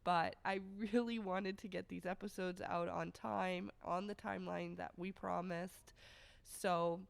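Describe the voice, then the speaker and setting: A woman co-hosting a casual podcast, her voice raspy from being sick, easygoing and laughing often. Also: on the low side, steady tone, unhurried. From Canada. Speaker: podcast co-host.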